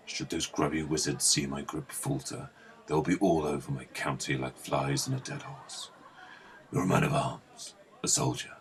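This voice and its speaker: Man playing very deep-voiced gruff old lord. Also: a bit bright, low-quality recording. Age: older. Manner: blunt, colloquial. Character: gruff old lord, shrewd, commanding war veteran. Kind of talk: fantasy audio drama acting.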